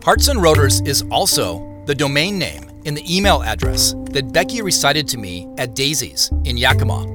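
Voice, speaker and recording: An American actor playing a reflective amateur investigator, narrating an audio drama in a measured, conversational way. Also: male voice, medium pitch, big pitch swings, over music, close to an everyday voice. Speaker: narrator and lead character.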